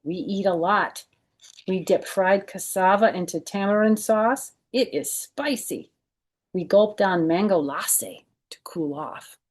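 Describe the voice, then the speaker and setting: A woman's voice: mid-range voice, unhurried. A woman hosting a children's storytime, reading a picture book aloud expressively and adding chatty, informal explanations.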